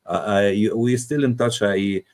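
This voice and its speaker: A middle-aged man with a voice far down in the low range. He hosts the interview in a halting way, breaking up his questions with frequent "uh"s and restarts. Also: steady tone, a little mellow. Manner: halting, many "uh" hesitations. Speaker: interviewer, host. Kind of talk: casual interview conversation.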